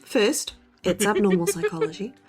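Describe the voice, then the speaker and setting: A woman playing a studious college student podcaster, deadpan and precise, bantering in an audio drama. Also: mid-range voice, moderate pace, lightly acted. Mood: dry, teasing. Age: young adult.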